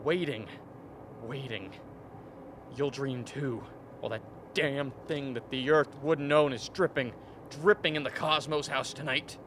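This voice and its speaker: A man's voice: medium pitch, unhurried, lightly acted. A man acting a haunted, vehement polar scientist in a radio play, speaking intensely and repeating words for emphasis. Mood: haunted, brooding. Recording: faint steady background noise.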